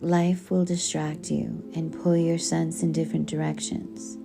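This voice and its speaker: A woman reading a book aloud in a soothing audiobook style, with measured, deliberate and gentle delivery over music. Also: sits low, little pitch movement, creaky. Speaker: book reader. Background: background music.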